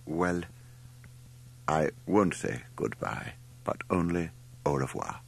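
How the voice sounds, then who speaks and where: A shy, formal gentleman from a storybook, as a male narrator plays him. He mumbles courteously and haltingly in a low, slow, creaky voice. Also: expressive, exaggerated voice. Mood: bashful, fond.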